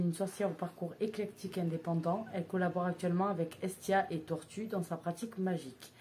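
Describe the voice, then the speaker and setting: A woman's voice: on the low side, mellow, slight background noise. A woman in her thirties chatting casually to camera as a vlogger, hesitant, with many filler sounds and restarts. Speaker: vlogger reviewing books.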